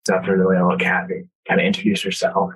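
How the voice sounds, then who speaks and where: A man's voice: low voice, monotone, quick pace, a bit bright, slightly rough, poor audio. A man hosting a podcast, introducing and interviewing his guest in a spoken interview style. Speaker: podcast host.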